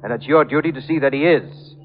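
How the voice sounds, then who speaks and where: An actor playing a soft-spoken, menacing villain with a polite, deliberate manner in a vintage radio drama. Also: male voice, medium pitch, phone-quality sound, soft background music, natural voice. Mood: controlled. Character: soft-spoken menacing villain, male, who kills by touch. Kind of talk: 1940s radio drama acting. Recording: band-limited old radio recording.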